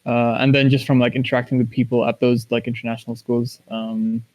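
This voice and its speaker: Halting male interview guest from Kuwait. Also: medium pitch, flat delivery, a little mellow. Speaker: interview guest, esports professional. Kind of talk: casual interview conversation.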